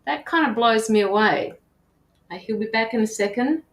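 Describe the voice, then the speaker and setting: A woman's voice: on the high side, normal speed, fairly bright tone. Echoey woman hosting casually. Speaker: host. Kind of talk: casual live stream hosting. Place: echoey room, online video call. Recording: echoey room sound.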